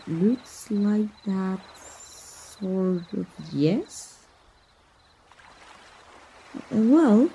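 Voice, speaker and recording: Casting: woman to play a young, self-reliant princess, Mexican accent, very relaxed dark tone, slow delivery, playful and tender, for romantic ASMR roleplay. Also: on the low side, exaggerated voice. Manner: teasing, gentle, bashful hesitations. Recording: noisy outdoor nature ambience.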